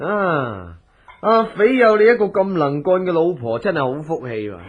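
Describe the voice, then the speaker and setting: Swooping, husky guard chief. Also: male voice, high voice, sing-song, moderate pace. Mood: jovial, tipsy. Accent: Hong Kong Cantonese. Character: jovial, hard-drinking male chief of the guards. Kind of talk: Cantonese radio horror drama acting. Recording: dull lo-fi radio drama recording.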